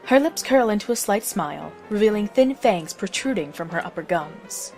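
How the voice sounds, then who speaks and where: A woman reads scripted story narration in the second person. Her voice is medium in pitch for a woman. Faint music runs behind her words. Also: lively intonation, normal speed, a little mellow.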